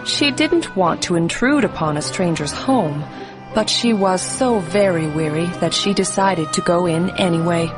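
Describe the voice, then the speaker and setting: Woman, storyteller, slightly low pitch, scripted children's bedtime narration, music underneath. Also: expressive, normal speed, low-quality recording.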